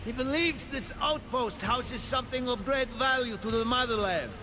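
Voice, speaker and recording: An actor playing a commanding, distrustful Russian army officer with a Russian accent and a forceful, clipped manner. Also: male voice, very high-pitched, normal speed, over music. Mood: grim, wary. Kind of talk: dramatic game cutscene acting. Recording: loud rain and vehicle noise.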